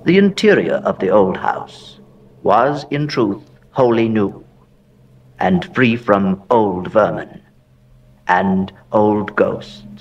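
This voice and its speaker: An actor playing a haunted, aristocratic heir who recounts Gothic horror in a theatrical, deliberate way with dramatic pauses. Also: male voice, fairly low pitch, animated, distant mic, lo-fi sound, slight background noise. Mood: proud, wistful. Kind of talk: dramatic horror narration, audio drama monologue.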